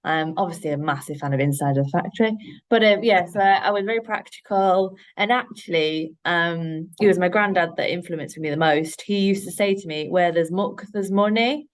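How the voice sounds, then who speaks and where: A woman's voice: on the low side, moderate pace. A woman in her late thirties with a Yorkshire accent, speaking frankly and directly as a guest in a podcast interview, with frequent fillers. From Yorkshire, England. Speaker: guest, head of operations. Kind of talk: podcast interview, casual chat.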